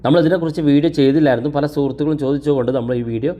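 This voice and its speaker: A man's voice: a little high, very fast, mellow. A man narrating an explanatory tech tutorial, addressing viewers directly in an instructional way.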